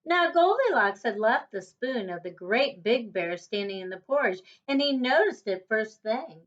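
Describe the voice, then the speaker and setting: A woman reading a children's story aloud as a teacher would, in a deliberate storytelling manner with emphatic contrasts. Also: fairly high pitch, animated. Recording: dull, lo-fi recording.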